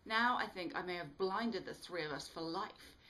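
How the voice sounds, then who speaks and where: A woman author reading her own book aloud with animated, dramatic storytelling delivery. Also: fairly low pitch, normal speed, low-quality recording. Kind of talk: expressive storytelling read-aloud.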